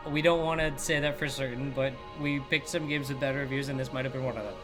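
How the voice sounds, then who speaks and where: A man's voice: mid-range voice, fairly even intonation, fast talker. A young man co-hosting a gaming video, chatting casually in a slangy, joking, exasperated way. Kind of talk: casual game commentary with a co-host. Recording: clear game music behind.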